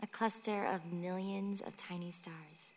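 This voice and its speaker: A woman's voice: lowish voice, steady tone, measured pace, a little mellow, slight background noise, natural voice. A woman playing a flippant sixteen-year-old runaway girl in a radio play, with a playful, breezy, teasing manner. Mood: tender, teasing. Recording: long reverberant hall sound.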